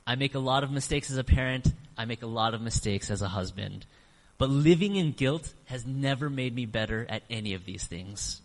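A middle-aged man preaching a sermon as pastor, earnest, slowing down to stress key phrases. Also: mid-range voice, gravelly. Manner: earnest, varies emphasis, slows on key phrases. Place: church sanctuary. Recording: long reverb of a church hall.

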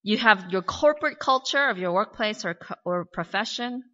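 Woman pastor, American accent, bright, clear voice. She preaches conversationally, asks searching questions and adds wry asides. Muffled, lo-fi sound. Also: medium pitch, expressive, moderate pace, big-room sound. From born in Korea, raised in the US. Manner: reflective, asks many questions, light humorous asides. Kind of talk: conversational sermon. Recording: dull lo-fi recording.

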